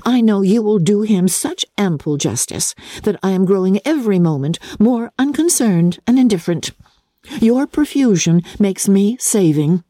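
A woman reader plays a spirited young English gentlewoman from a classic novel. She is witty and candid, with a wry, teasing delivery, very varied pitch and a mellow, slightly husky tone. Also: no room sound, clean studio sound, close to an everyday voice. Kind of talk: dramatic classic novel reading.

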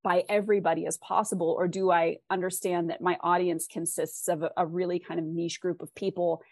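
A woman's voice: fairly low pitch, flat delivery, brisk, dry recording, studio quality. A woman giving thoughtful, conversational interview answers as a guest author, explaining things and acting out imagined questions.

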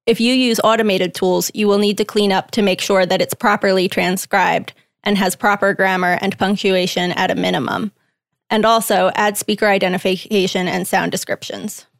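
A woman reading a guest's typed interview answers aloud in a measured, plain way. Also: medium pitch, steady tone, creaky, no echo, clean studio sound.

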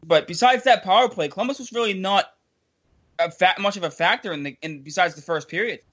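High-voiced young man complaining about hockey. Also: brisk, fairly bright tone. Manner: frustrated, repetitive, incredulous. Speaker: podcast host. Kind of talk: casual sports chat.

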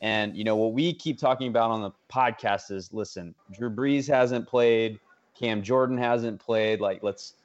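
Male co-host, slightly muffled podcast audio. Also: lowish voice. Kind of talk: casual sports chat.

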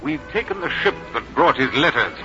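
A man acts a suave British army major who flirts and schemes in a 1950s radio drama. He is courtly and theatrical, pitched a little high. The sound is muffled, with music behind. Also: expressive, brisk, close to an everyday voice. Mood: scheming.

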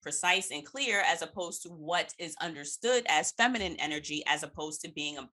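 A woman's voice: deep voice, animated, normal speed, bright, forceful tone. A woman hosting a conversational podcast interview, thinking aloud as she shapes her points.

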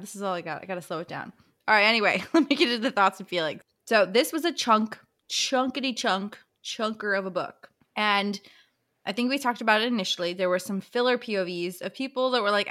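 A woman's voice: mid-range voice, fairly bright tone. A woman co-hosting a book recap podcast in casual, playful, informal language.

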